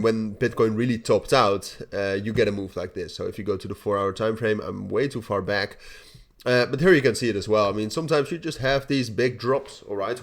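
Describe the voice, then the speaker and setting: A young man talks through a casual market update in a lowish, nasal voice, his nose audibly stuffed up. He rambles, restarts his sentences and keeps tagging them with "all right?". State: blocked nose. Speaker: crypto channel host.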